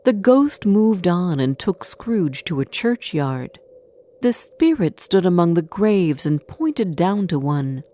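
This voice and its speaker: A woman reading a scripted storybook tale as narrator, with an expressive, deliberate delivery. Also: on the low side.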